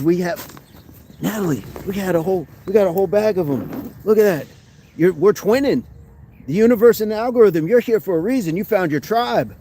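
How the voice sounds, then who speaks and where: A man's voice: a little high, warm tone, a little hiss or hum. Upbeat man hosting a live stream outdoors. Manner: upbeat, chatty, reads out viewer comments. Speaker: fitness livestream host. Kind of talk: casual livestream chat with viewers. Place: outdoors among trees.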